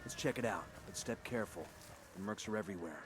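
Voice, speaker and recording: An actor playing a tough special forces squad leader who gives curt orders and threats. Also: male voice, fairly low pitch, fast talker, heavy background noise, close to an everyday voice. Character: gruff, tough male special forces soldier.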